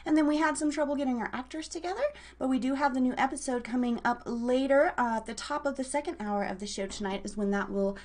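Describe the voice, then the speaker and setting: A woman's voice: high voice, fast talker, hardly any pauses, a little mellow. An American woman hosting a casual live show in a bubbly, chatty way with playful wording. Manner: bubbly, playful word coinages. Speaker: radio show host.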